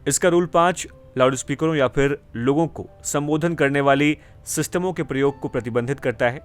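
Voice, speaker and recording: A man's voice: mid-range voice, clean tone. Male news presenter over background music. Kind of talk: scripted news explainer narration.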